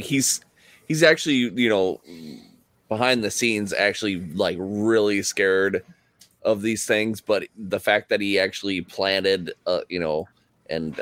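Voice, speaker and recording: A man's voice: lowish voice, fairly bright tone, no room sound. A man playing a tabletop roleplaying game, speaking in a hesitant, thoughtful way. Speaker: player.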